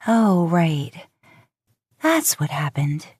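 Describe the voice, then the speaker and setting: A woman narrating scripted romantic fiction in a measured, expressive storytelling manner. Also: low voice, slightly rough, dry recording. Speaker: podfic narrator.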